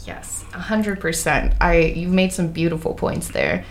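Female host, English Canadian accent, casual and animated, laughs a lot. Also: lowish voice, unhurried. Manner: laughs often, animated storytelling. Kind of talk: casual interview chat.

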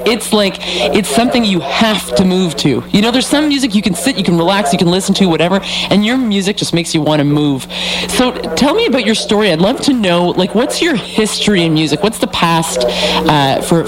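A radio host interviewing a musician, effusive and quick to exclaim. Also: medium pitch, lively intonation, a crowd in the background. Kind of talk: casual interview. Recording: noisy with hum.

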